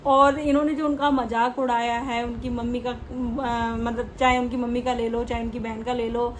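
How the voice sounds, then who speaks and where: Female reaction host, high-pitched, conversational and explanatory, drops in English words, faint background noise. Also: level tone, normal speed. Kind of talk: casual opinion commentary on online drama.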